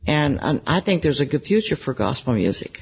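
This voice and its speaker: An older woman with a low voice, chatting as a guest in an interview and gently telling stories. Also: sing-song, a little fast, a crowd in the background. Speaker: interview guest, gospel singer. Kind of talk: casual interview conversation. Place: music convention. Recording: slightly dull lo-fi recording.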